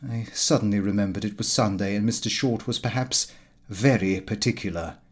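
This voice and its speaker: A man reading a comic first-person diary aloud in an expressive, fussy storytelling manner. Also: lowish voice, fairly quick, breathy edge. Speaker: audiobook narrator.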